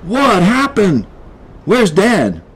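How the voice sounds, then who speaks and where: A man's voice: high voice, animated, moderate pace, breathy edge, voice pushed up. A man voicing a brave, anxious young boy in a storybook, speaking in an urgent, exclamatory way. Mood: alarmed.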